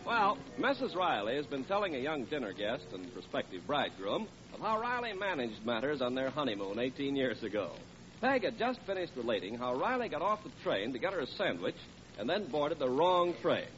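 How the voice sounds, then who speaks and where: A man's voice: mid-range voice, sing-song, a little fast, a bit bright. A man working as a 1940s radio announcer with a polished, emphatic salesman's delivery. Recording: muffled, faintly hissy vintage radio.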